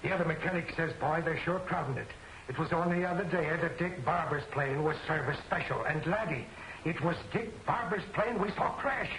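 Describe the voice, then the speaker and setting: An excitable legman from a vintage newspaper serial, indignant and full of bluster. His voice sits fairly high. The old soundtrack is roomy, with faint noise underneath. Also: a little fast. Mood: eager, important. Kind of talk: 1940s film serial acting.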